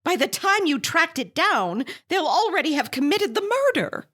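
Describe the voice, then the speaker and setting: An actress playing an anxious, demanding, self-pitying invalid wife in a suspense radio drama, fretful and flustered. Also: female voice, very high voice, big pitch swings, moderate pace, a bit bright, heavily acted. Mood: indignant, demanding. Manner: fretful, indignant, flustered with stammered restarts.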